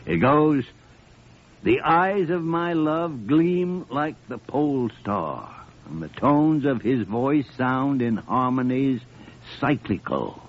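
An actor playing a gruff, crotchety old American senior doctor in a 1940s radio drama, muttering and theatrical. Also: male voice, medium pitch, sing-song, slow. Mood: sardonic. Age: older. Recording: muffled, noisy old radio recording.

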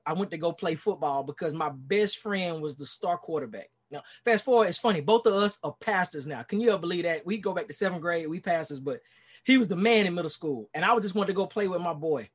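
A Texan man in his forties, a pastor speaking as a guest on a video call. His voice sits fairly high, and he tells his story in an earnest, rambling way with frequent fillers and restarts. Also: animated, fairly quick, slightly rough. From Dallas, Texas. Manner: earnest, rambling, many "you know"s and restarts. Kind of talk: casual interview storytelling.

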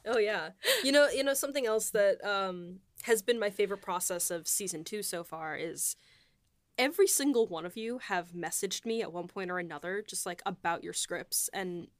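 A woman co-hosts a casual panel chat about her writing work. She has a mid-range voice and feels her way through thoughts in a conversational, halting style. The sound is dry and free of room echo. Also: medium pitch. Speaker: podcast host and series writer.

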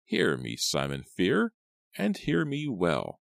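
A man voicing a stooped old woman from a horror storybook, slow and commanding, with a deep, raspy, gravelly croak. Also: wildly swooping pitch, no echo, clean studio sound, heavily acted. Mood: grave warning. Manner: slow, commanding, ominous pronouncements. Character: menacing, stooped old crone fortune-teller in woods.

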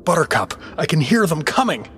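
A man's voice: fairly high pitch, sing-song, a little fast, a bit bright, soft background music. An actor playing an anxious but defiant everyman hero in a fantasy audio drama, with a nervous, wry delivery. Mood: worried.

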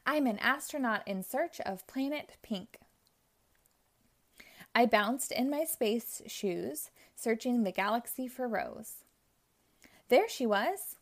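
A woman reading a children's picture book aloud, narrating in a gentle, expressive storytelling manner. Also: fairly high pitch, slow pace, pauses often. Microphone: audio only, close mic.

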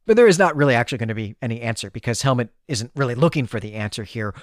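A man's voice: mid-range voice, fairly bright tone, no echo, clean studio sound. A man co-hosting a podcast, recapping a story conversationally with explanatory asides. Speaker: podcast co-host.

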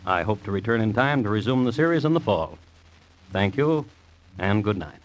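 An actor playing an urbane, dryly macabre crime historian who hosts a 1950s radio series in a formal, wry manner. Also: male voice, fairly low pitch, expressive, moderate pace, close to an everyday voice. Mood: formal, cordial. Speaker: host and narrator of crime series. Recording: faintly noisy vintage radio recording.

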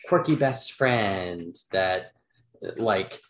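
Hesitant young man with a low voice. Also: lively intonation, drawn-out words, fairly bright tone. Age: young adult. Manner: hesitant, many "um"s and restarts. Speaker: podcast guest. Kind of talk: casual chat.

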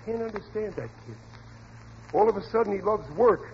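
High-voiced blustering radio dad. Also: male voice, animated, brisk. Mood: scheming, confident. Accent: American. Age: middle-aged. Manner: stammering, repeating himself, ungrammatical. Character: blustering, uneducated, well-meaning working-class family father. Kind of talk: 1940s radio comedy acting. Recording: muffled, noisy old radio recording.

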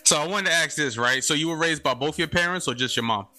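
A New York man hosts a casual podcast, hyping his guest in loose street slang. His voice is very bright and forceful, and faint music plays behind him. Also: on the high side, quick pace. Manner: hype introductions, loose street talk. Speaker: podcast host. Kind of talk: casual interview.

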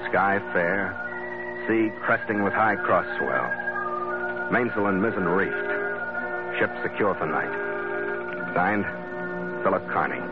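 A man's voice: low-pitched, animated, slow pace, long pauses. An actor playing a tough, wry sea captain who narrates his adventures tersely and vividly in 1940s radio drama style. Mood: solemn, clipped. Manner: hardboiled, vivid storytelling, terse. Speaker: lead actor and first-person narrator. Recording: dull old radio recording, loud music behind.

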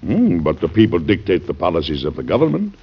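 Classic radio drama cautious, gentle statesman president; low, expressive delivery, dull old recording. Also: quick pace, husky, full character voice. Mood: thoughtful, sympathetic. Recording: muffled vintage radio recording.

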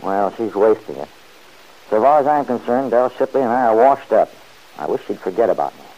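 A man playing a restless, stubborn middle-aged man who answers gruffly and defensively, in 1940s radio acting. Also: on the low side, animated, a little fast, lo-fi sound, lightly acted.

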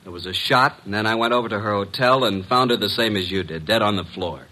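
An actor playing an eager, wisecracking detective's assistant in an old radio drama, plain-spoken and brisk. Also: male voice, on the low side, pushed and bright, poor audio. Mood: wry, obliging. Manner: brisk, plain-spoken, deferential with wry cheek. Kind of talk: 1940s-1950s radio detective acting.

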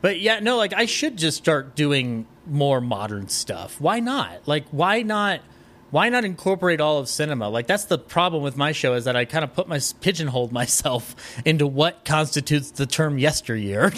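A man chatting casually as a podcast guest, animated and jokey, with a rambling way of talking. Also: a little high, normal speed. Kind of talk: casual chat.

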